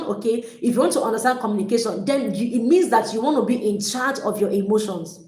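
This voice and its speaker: Lady coach, fired up and emphatic, kinda high voice, roomy echo on it. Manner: energetic, emphatic, repeats herself. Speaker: relationship coach. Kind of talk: motivational teaching talk. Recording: echoey room.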